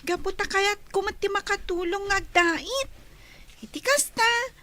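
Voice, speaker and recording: A woman's voice: very high voice, measured pace, bright, forceful tone, heavily acted. A woman playing an eager, helpful young girl, a younger sister, in a radio drama. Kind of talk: Ilocano radio drama acting.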